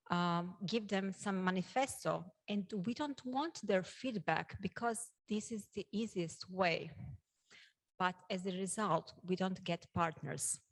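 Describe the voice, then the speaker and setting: A woman with a Croatian accent moderating a conference session and addressing the audience, speaking hesitantly with frequent pauses. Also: mid-range voice.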